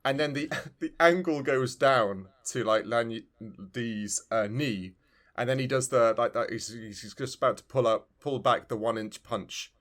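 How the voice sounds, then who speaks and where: A man's voice: mid-range voice, measured pace, fairly bright tone. A man co-hosting a podcast, chatting casually about video games in an enthusiastic, rambling way. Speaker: podcast co-host. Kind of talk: casual video game discussion.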